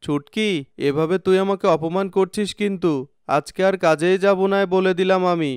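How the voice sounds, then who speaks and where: A man's voice: high-pitched, fairly even intonation, normal speed, clean tone, no room sound, studio quality, natural voice. A man voicing a complaining young village son and older brother in a cartoon. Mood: defensive. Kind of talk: cartoon dubbing.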